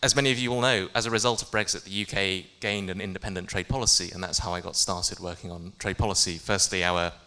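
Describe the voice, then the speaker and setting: A man's voice: low-pitched, fairly even intonation, fast talker, few pauses, sharp tone, smooth. A man with a British accent speaking as a trade official on a panel, polite and formal, with frequent uhs. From New Zealand, raised in the UK. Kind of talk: panel remarks.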